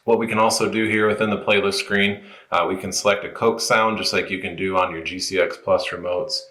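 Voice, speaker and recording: A man's voice: deep voice, level tone, brisk, bright, forceful tone. A man presenting an informal, unscripted app walkthrough, explaining each step as he goes in a roomy space. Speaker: product tutorial presenter.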